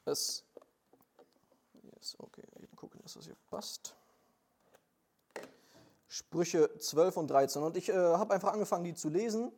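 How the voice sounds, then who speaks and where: Hesitant young preacher with a mellow voice. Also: male voice, sits high, unhurried, pauses often. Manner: hesitant, many fillers, plain earnest delivery. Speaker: lay preacher and missionary. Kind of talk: informal church sermon with prayer.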